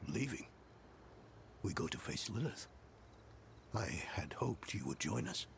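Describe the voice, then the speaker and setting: Earnest middle-aged male leader for a game cutscene; hesitant, gravelly, lively intonation, unhurried. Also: on the low side, in a whisper, exaggerated voice. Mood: regretful, polite. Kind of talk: dramatic video game cutscene acting.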